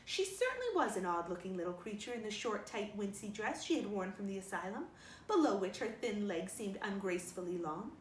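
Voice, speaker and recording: Animated woman reading a storybook. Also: medium pitch, fairly quick. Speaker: storybook reader. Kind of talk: expressive scripted audiobook narration. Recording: roomy, distant mic, faint noise.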